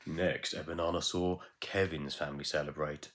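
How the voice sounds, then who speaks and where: A man reading a children's story aloud in a measured, expressive way with dramatic pauses. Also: a bass voice. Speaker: teacher reading a bedtime story.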